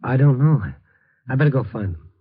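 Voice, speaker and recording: An American actor playing a tough but kindly middle-aged reporter in 1950s radio noir, moving between terse narration and hesitant, gentle soothing. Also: male voice, mid-range voice, a little fast, husky. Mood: uneasy, reassuring. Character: tough but kindly male night-beat reporter, troubled. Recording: muffled old radio recording.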